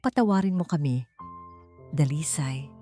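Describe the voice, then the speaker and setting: A woman's voice: deep voice, animated, measured pace, mellow. A woman voicing a proud eldest brother, now humbled, solemn and remorseful in a romance story. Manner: heavy, solemn. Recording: faint music behind.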